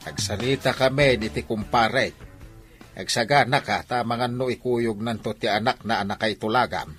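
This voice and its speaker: A man's voice: medium pitch, normal speed, fairly bright tone, faint music behind, close to an everyday voice. A man with an Ilocano accent playing a teasing, stubborn middle-aged rural father in a radio drama. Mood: scolding, insistent. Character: teasing, stubborn rural father arranging his daughter's marriage.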